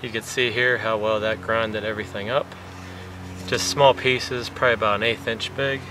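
A man's voice: lowish voice, moderate pace. Man explaining a recipe outdoors, distant mic. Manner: relaxed, plain step-by-step explaining with fillers. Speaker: fishing vlogger. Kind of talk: casual how-to demonstration. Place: outdoors on a lakeshore. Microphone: camera mic from a few meters away. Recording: distant outdoor camera audio with wind noise.

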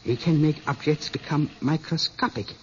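An actor playing an elderly, absorbed scientist and father in an old radio drama, speaking in a deliberate, hesitant lecturing way. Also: fairly high pitch, natural voice. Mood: earnest, lecturing. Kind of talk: 1950s radio drama acting. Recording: muffled old radio recording with hiss.

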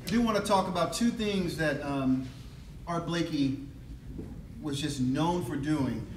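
A man, a jazz bandleader, talking casually to a live audience from the stage, relaxed and full of restarts. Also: on the high side, a little slow. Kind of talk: casual stage announcement at a concert. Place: stage of a concert hall before a live audience. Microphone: stage vocal mic on a stand. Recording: roomy hall sound with audience noise.

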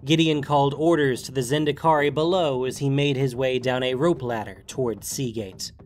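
A man narrating a scripted fantasy story dramatically, with deliberate emphasis. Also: medium pitch, steady tone, a little mellow, faint music behind.

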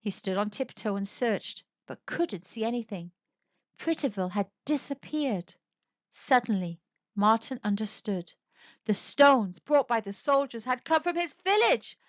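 A woman narrating a children's storybook aloud with expressive, animated storytelling. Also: fairly high pitch, a little slow, dry recording. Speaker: storybook reader. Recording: dry, dull lo-fi sound.

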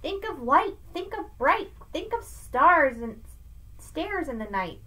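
A middle-aged woman teacher giving an expressive, emphatic read-aloud lesson for children, recorded on a webcam in a room at home. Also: sits high, slow pace, low-quality recording. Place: small room at home with bookshelves, indoors. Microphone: webcam at arm's length.

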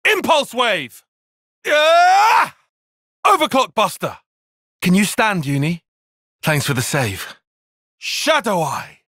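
A man's voice: sits high, very animated, slow, long pauses, sharp tone, dry recording, clean studio sound, full character voice. An actor playing a brainy young male tactician in a video game, giving clipped, commanding battle call-outs with composed intensity. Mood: battle shouting.

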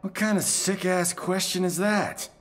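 An actor playing a cocky, wisecracking movie-star fighter in video game banter, brash and sarcastic. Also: male voice, sits high, fairly even intonation, a little slow, noisy background. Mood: cocky taunting.

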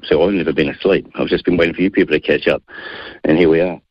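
Man, New Zealand accent, very low voice, quick defiant talk, dull lo-fi radio sound. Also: steady tone, no room sound. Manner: assertive, defiant. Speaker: guest. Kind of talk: radio interview.

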